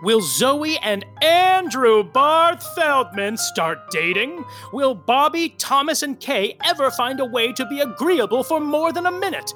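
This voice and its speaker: A bright, gossipy show narrator. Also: female voice, high-pitched, lively intonation, measured pace, hardly any pauses, soft background music. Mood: teasing. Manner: theatrical, gossipy announcer.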